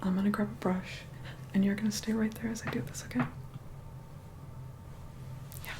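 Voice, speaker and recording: A woman playing an aloof, reluctantly caring vampire woman in an ASMR roleplay, soft-spoken and breathy, often whispering, slow and condescending. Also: mid-range voice, level tone, background noise, close to an everyday voice. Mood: bossy, aloof. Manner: slow, condescending, grudgingly kind. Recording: loud music behind the voice. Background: music.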